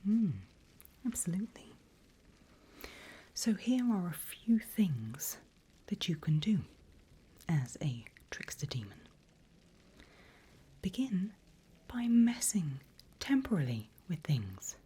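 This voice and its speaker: A woman voicing a vain, teasing female demon mentor in a slow, breathy whispered ASMR roleplay. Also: lowish voice, very animated, exaggerated voice. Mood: coolly amused. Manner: slow, teasing, deliberate, hushed. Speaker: demon guide training a newcomer.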